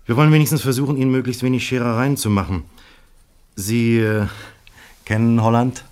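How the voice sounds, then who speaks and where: A man acting in a 1970s German radio crime drama as a shrewd, courteous Dutch police inspector, formal and measured. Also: medium pitch, fairly even intonation, darkish tone, lightly acted. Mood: polite, official.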